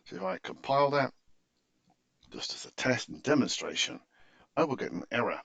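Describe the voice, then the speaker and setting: A man giving an unscripted, methodical screen-share software tutorial in an explanatory, instructive way, on a dull recording. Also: mid-range voice, very animated, moderate pace, gravelly, no room sound.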